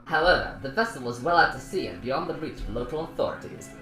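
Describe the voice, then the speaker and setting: A reader voicing a male TV news reporter in a measured news-report delivery. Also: mid-range voice, animated, a bit bright, echoey room, faint music behind, close to an everyday voice, poor audio. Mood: formal.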